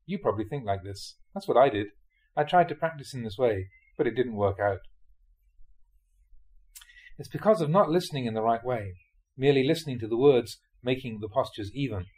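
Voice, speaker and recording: A man, a Buddhist monk, reads translated spiritual teachings aloud in a scripted audiobook style, with measured, deliberate phrasing in short clauses. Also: medium pitch, animated, darkish tone. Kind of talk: scripted audiobook-style reading of dharma talks.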